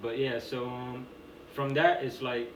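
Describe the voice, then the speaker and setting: A man in his twenties, the guest on a podcast, telling relaxed stories in slang with lots of fillers. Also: mid-range voice, unhurried, a loud crowd. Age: 20s. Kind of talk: casual conversation. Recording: echoey room, distant mic, noisy.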